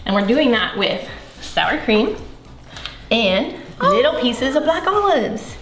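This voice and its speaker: A woman's voice: on the high side, sing-song, slow pace, a bit bright, echoey room, slight background noise. A young woman hosting a casual cooking video, chatting and explaining each step as she cooks in a large home kitchen. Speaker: host.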